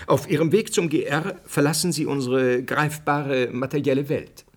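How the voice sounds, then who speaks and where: An actor playing an earnest, didactic scientist being interviewed in a radio drama. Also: male voice, fairly high pitch, steady tone, normal speed, lightly acted. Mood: lecturing. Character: earnest male scientist, explaining theory, brusquely dismissive of speculation.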